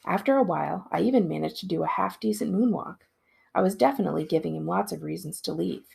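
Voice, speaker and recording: Woman librarian reading a story out loud, lowish voice with tons of fry, expressive. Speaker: school librarian reading aloud.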